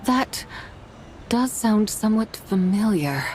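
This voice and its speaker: A woman's voice: medium pitch, expressive, takes it slowly, darkish tone, a little hiss or hum, poor audio. A woman voicing a proud, lofty immortal adeptus in a video game, with imperious, formal, old-fashioned diction. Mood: pensive. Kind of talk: English video game voice acting.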